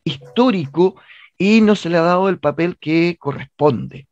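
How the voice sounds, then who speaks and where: A man with a Chilean accent and a fairly high voice speaks as an interview guest. He argues earnestly, repeating words for emphasis. The sound is somewhat dull and very dry, with no room around him. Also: unhurried, darkish tone, no echo. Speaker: theatre director, interview guest. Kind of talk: conversational radio interview.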